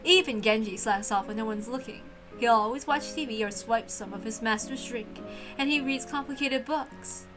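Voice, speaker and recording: A woman's voice: fairly high pitch, normal speed. A woman reading a visual novel aloud as narrator, with music playing behind her. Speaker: reader voicing a visual novel. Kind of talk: animated read-aloud narration.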